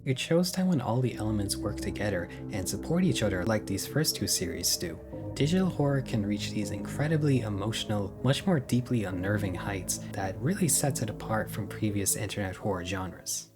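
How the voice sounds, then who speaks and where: A man's voice: lowish voice, animated, moderate pace. Casual, opinionated man narrating. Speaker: video essayist. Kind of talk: video essay narration. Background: music.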